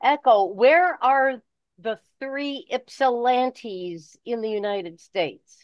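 A woman's voice: fairly high pitch, slow pace, no room sound. Woman chatting, dull dry lo-fi sound. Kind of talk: casual chat. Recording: dull, slightly muffled phone audio.

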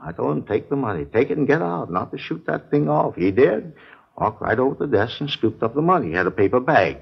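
Husky, folksy American store manager. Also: male voice, medium pitch, very animated, fast talker, full character voice. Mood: recounting. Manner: rambling, folksy, slightly exasperated. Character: talkative, testy male supermarket manager, robbery victim. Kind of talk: 1950s radio drama acting, naturalistic. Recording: muffled vintage radio recording.

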